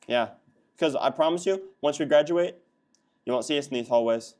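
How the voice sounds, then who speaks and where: A man's voice: on the high side, normal speed, darkish tone. A teenage boy hosting a school video show, upbeat and playful, hyping up his guests. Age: teens. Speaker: student host of a school show. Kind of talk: casual school news chat.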